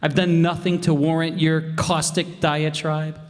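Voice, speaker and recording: A man's voice: fairly high pitch, level tone, a little slow, natural voice. Man acting a vain, breezy radio-comedy dad. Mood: boastful, breezy. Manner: boastful, breezy. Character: vain, folksy, slangy bandleader husband and father. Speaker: radio player. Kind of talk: stage re-creation of old radio comedy. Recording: reverberant hall, live stage performance.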